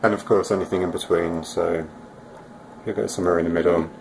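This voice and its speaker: A man's voice: sits low, fairly even intonation, a little fast, creaky, heavy background noise. A man informally narrating a demo of an electronics project as a hobbyist presenter.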